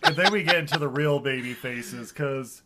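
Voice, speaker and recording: A man's voice: medium pitch, moderate pace, very noisy. A young man hosting a casual movie podcast, running through cast and crew lists with lots of ums and laughter. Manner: laughing often, listing credits with filler ums. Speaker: podcast host.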